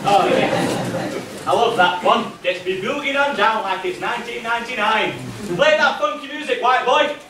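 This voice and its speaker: A man's voice: extremely high pitch, moderate pace. A man playing a flirty, saucy nanny as a pantomime dame on stage, chatty and full of exclamations. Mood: jolly, rousing. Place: stage in an echoey hall, far microphone. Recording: echoey distant stage recording, noisy.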